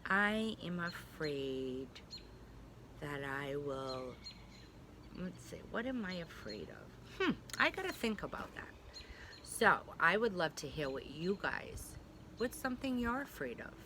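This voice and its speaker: A woman's voice: low-pitched, big pitch swings, slow pace, pushed and bright, slight background noise. A woman pre-K teacher reading a picture book aloud to young children, speaking simply and directly to them with little check-in questions.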